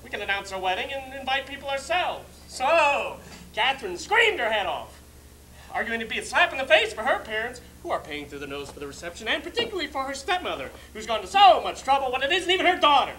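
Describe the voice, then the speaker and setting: Frantic, put-upon groom male actor for a stage comedy, bright forceful voice, very animated, emphatic. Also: extremely high pitch, fast, a little hiss or hum, full character voice, low-quality recording. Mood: exasperated. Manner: agitated, emphatic, swooping delivery. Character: frantic, put-upon bridegroom, exasperated and neurotic. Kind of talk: theatrical stage monologue.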